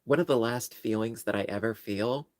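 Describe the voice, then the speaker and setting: A man's voice: medium pitch, moderate pace, darkish tone, no room sound, studio quality. A man from New York with a New York accent, rambling and joking at his own expense while chatting casually on a livestream. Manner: rambling, self-deprecating, joking. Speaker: livestream host.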